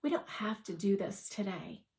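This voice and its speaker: A woman's voice: medium pitch, expressive, moderate pace, gravelly, darkish tone. A woman voicing a friendly, forthright schoolgirl in a storybook read-aloud, casual and encouraging. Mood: casual, suggesting.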